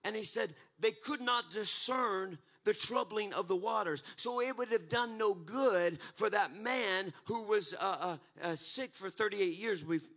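A man, preaching a fervent sermon on a church stage into a handheld mic, building from talk to shouts. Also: high-pitched, a little slow, few pauses. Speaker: pastor. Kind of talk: energetic Pentecostal sermon. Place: church stage, mid-sized hall.

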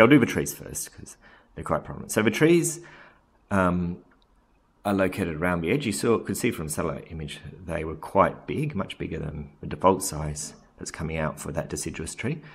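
A man casually narrating a software screen recording, thinking aloud with frequent ums and self-corrections. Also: low-pitched, very animated, normal speed, creaky, slightly rough. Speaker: software tutorial presenter.

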